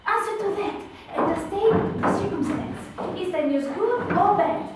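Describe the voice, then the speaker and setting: A woman's voice: extremely high pitch, expressive, measured pace. A young woman acting an eager girl in love who impatiently begs for news, in an amateur stage performance. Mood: pleading. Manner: pleading, insistent, rising with impatience. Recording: echoey, distant, noisy room.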